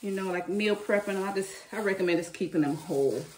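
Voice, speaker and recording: A woman's voice: medium pitch, quick pace, darkish tone, distant mic, a little hiss or hum. A woman hosting a cooking channel, chatting in a homey way with her viewers as she preps food in her kitchen. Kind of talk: casual meal-prep chat while cooking. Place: home kitchen. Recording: echoey room, mic set back from her.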